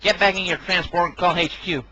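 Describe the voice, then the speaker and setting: A man playing a bossy, blustering military commander who is quick to blame, in an amateur audio drama. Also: high voice, steady tone, bright, forceful tone, lo-fi sound, a little hiss or hum. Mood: commanding.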